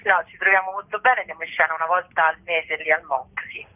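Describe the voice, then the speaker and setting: A woman's voice: fairly low pitch, steady tone, quick pace. A woman chatting casually by telephone as an actress interviewed on the radio. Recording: tinny, narrow telephone line.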